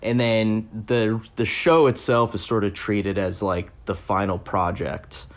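Man, lower-pitched, reporter, conversational, thoughtful, explanatory. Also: fairly even intonation, measured pace. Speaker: education reporter. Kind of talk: conversational interview talk.

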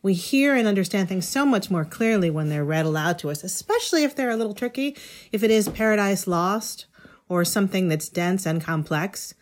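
A woman's voice: medium pitch, animated, a little fast. A middle-aged woman speaking as an interview guest, explaining things in an articulate, thoughtful, conversational way. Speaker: interview guest, education writer.

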